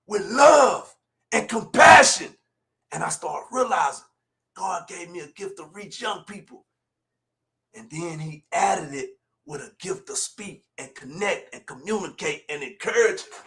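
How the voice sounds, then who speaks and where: A man in his forties delivers motivational preaching in a very high, sharp voice. He speaks straight to his listeners in plain colloquial talk, repeating key lines for emphasis and swinging between quieter asides and shouts. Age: 40s. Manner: colloquial, direct address to listeners. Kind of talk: fiery motivational preaching on a livestream.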